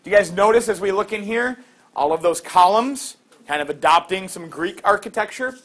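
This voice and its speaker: A middle-aged man teaching a class, lively and quizzing students with quick questions. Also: sits high.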